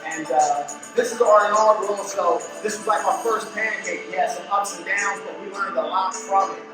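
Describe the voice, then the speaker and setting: A man giving an informal live introduction to a puppet show as the artist running it, speaking in a halting, appreciative way. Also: sits high, fairly even intonation. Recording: echoey room, distant mic, music behind.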